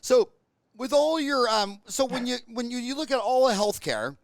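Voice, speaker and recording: A man's voice: extremely high pitch, normal speed, no echo. An American man hosting an interview podcast, chatting casually and telling jokes with folksy asides. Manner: joking storyteller, folksy asides. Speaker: podcast host.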